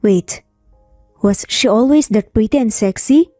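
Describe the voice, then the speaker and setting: A woman's voice: on the high side, measured pace, dark tone, no echo, studio quality, soft background music, natural voice. A Filipino woman voicing a smitten, brooding young businessman in a romance story, feigning composure and mixing in English. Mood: brooding, self-questioning. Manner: code-switches between Filipino and English.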